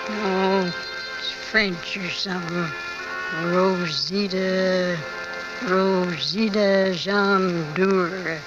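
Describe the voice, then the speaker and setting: An actress playing an unsentimental keeper of a seedy hotel, offhand and sardonic, in 1940s radio drama style. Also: female voice, lowish voice, steady tone, extremely slow. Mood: weary, sardonic. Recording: old muffled radio recording, music underneath.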